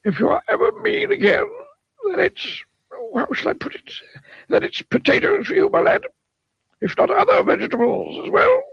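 A dithering, squeaky old wizard. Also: male voice, sing-song, normal speed, vocal fry, dry recording, dull audio, exaggerated voice. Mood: stern. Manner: dithering, full of filler phrases, very high put-on voice. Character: wordy rambling old male wizard, stern.

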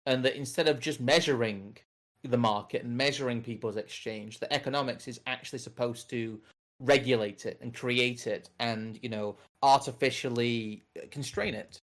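Rambling English man, low-key voice. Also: fairly bright tone. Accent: General English. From North of England. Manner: rambling, self-correcting. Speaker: podcast co-host. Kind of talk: casual political discussion.

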